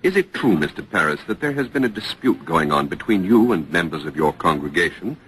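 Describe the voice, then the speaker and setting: A man reporting in 1940s radio news style as host, formal, crisp and probing, on a vintage broadcast recording. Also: deep voice, expressive, a little fast, fairly bright tone, a little hiss or hum.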